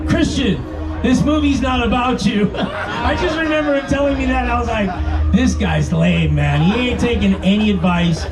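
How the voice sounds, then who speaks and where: A man's voice: high-pitched, darkish tone. A man in his fifties on a screening panel, reminiscing in a rambling, reflective way. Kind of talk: reminiscing to an audience. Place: screening event. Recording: distant mic with music behind.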